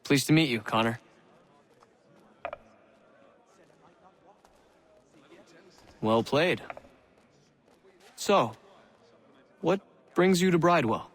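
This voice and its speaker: A higher-voiced, wry male trickster. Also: lively intonation, a little slow. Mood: wry, playful. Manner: wry, urbane, teasing. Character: witty, slippery male trickster prisoner. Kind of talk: video game cutscene acting.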